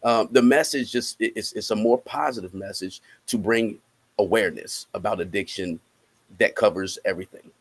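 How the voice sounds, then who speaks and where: A man with an American accent speaking casually as an interview guest, halting as he thinks aloud. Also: lowish voice, slightly rough. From Cincinnati, United States. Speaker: interviewed musician and rapper.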